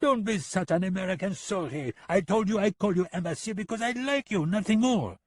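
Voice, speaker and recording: An arrogant gunman who taunts everyone around him, as a male narrator puts him on. The voice rides high and haughty, a little husky, and sits close and dry. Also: expressive, brisk, dry recording. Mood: mocking. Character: smug foreign male hired killer, mocking and arrogant.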